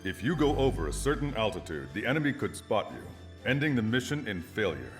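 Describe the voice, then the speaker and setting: An actor playing a stern military officer, delivering a formal, authoritative mission briefing for a video game. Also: male voice, on the low side, sing-song, a little fast, faint music behind. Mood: formal, resolute.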